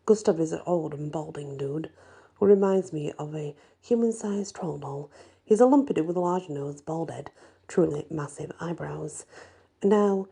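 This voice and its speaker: Soft, dark-voiced woman reading a story. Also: low-pitched. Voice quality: soft, husky, breathy. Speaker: audiobook-style story reader. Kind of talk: ASMR first-person fantasy story narration.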